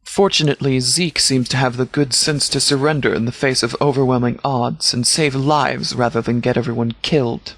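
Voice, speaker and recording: A man playing a stern, composed sheriff in a video game, speaking in a measured, formal, authoritative way. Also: medium pitch, fairly even intonation, a bit bright, dry recording. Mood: businesslike briefing. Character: stern, composed male sheriff leading a post-apocalyptic militia. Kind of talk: scripted video game dialogue.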